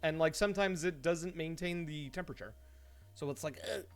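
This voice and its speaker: A man streaming live, chatting casually to viewers and telling stories in an animated way, acting out his reactions. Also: fairly high pitch, fairly quick. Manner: animated storytelling, mimics his own reactions; laughs easily while talking. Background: background music.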